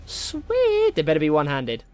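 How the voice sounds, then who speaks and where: A man's voice: on the high side, wildly swooping pitch, moderate pace, faint music behind. A young British man giving casual, rambling, jokey gameplay commentary, easily distracted as he goes. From Britain. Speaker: gaming video host.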